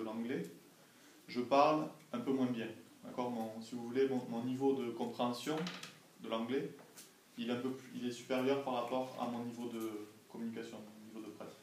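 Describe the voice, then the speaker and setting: A man's voice: mid-range voice, steady tone, normal speed, a little mellow. A young man from France, answering interview questions as a language assistant, carefully and didactically, often checking that he is understood. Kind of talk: informal classroom interview answers. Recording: echoey classroom, distant mic, faint noise.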